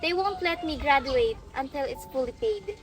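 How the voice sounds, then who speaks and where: A woman's voice: sits high, animated, moderate pace. A woman playing a weary, earnest young student in an amateur radio drama, with a Filipino accent and a pleading manner. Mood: dejected, pleading.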